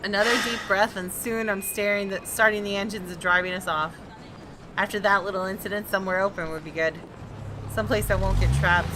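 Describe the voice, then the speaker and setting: A woman's voice: on the low side, sharp tone. A woman hosting a gaming video, reading visual novel narration aloud with dramatic expression.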